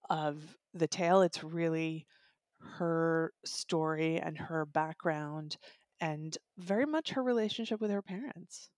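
A woman's voice: low-pitched, takes it slowly, darkish tone, dry recording, studio quality. A woman giving a conversational book review as part of a discussion. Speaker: audiobook reviewer and podcast guest.

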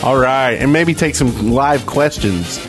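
A man chats casually as co-host of a gaming podcast. His voice sits at a middling pitch, and music plays clearly underneath the banter. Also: animated, measured pace.

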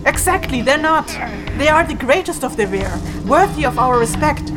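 Stern, pragmatic fantasy adventurer, somewhat high pitch, brusque delivery, music underneath. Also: female voice, lively intonation, moderate pace. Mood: exasperated. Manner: brusque, commanding. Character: stern, impatient, pragmatic adventurer devoted to sacred spirits. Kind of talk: fantasy audio drama acting. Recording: music behind the voice.

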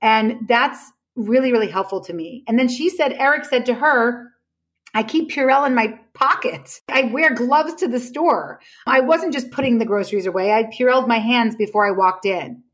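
A woman's voice: a little high, moderate pace. A woman explaining her ideas conversationally as a podcast guest, telling anecdotes emphatically and acting out reported dialogue.

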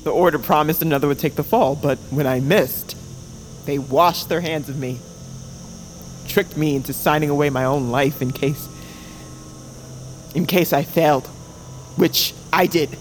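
A man playing a desperate, guilt-ridden bird-folk assassin on the run in a fantasy audio drama, speaking in a halting, intense way. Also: a little high, slight background noise, close to an everyday voice. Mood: haunted, halting.

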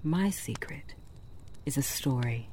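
A woman playing a mysterious, gentle forest storyteller, narrating a scripted fairytale in a hushed, breathy, intimate way with deliberate pauses. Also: very deep voice, animated, slight background noise, exaggerated voice. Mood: secretive, wistful. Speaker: storyteller narrator of an audio drama podcast.

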